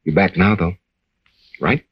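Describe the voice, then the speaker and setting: An actor playing a rugged, devoted lighthouse keeper in a dramatic, earnest old radio drama style. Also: male voice, deep voice, measured pace, slightly rough. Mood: tender, awkward. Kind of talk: 1940s-50s radio drama acting, narration and dialogue. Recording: dull old radio recording with background noise.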